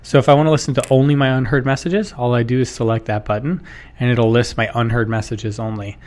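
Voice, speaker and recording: A man in his thirties narrates a software walkthrough. His voice sits at a medium pitch, and he explains each step informally, as a presenter would in a tutorial. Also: level tone, quick pace. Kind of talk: casual instructional screen-share narration.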